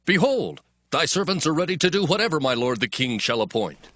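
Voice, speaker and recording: Lively, dutiful royal servant. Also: male voice, a little high, a little fast. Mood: eager, deferential. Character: dutiful, obedient servant of a biblical king. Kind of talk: dramatized Bible audio acting.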